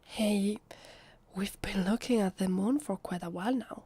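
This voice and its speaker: A woman with an Italian accent playing a shy, lonely vampire posing as a human in an ASMR roleplay, speaking softly and hesitantly in a breathy half-whisper. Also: mid-range voice, unhurried, no room sound. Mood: nervous, coaxing. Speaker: voice actress. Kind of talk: ASMR roleplay, soft intimate speech to the listener.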